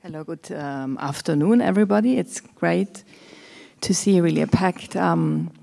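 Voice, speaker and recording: A woman's voice: fairly low pitch, moderate pace, gravelly, dark tone. A woman in her forties, a native German speaker with a German accent, giving an academic presentation into a handheld microphone on stage. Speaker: curator and theorist, panel speaker. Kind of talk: academic presentation, partly read from script. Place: auditorium stage with audience.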